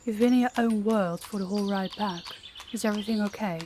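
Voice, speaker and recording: A woman's voice: mid-range voice, a little fast, noisy background, close to an everyday voice. A woman playing a young princess, a king's daughter, in an intimate audio roleplay. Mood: attentive, gentle. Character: young rival princess, daughter of a stern king.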